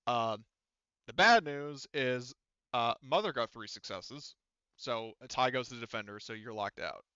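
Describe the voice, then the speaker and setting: A man's voice: medium pitch, lively intonation, measured pace, pushed and bright, clear, resonant tone, no room sound, dull audio. A man casually running a tabletop game as game master, rambling and swearing freely.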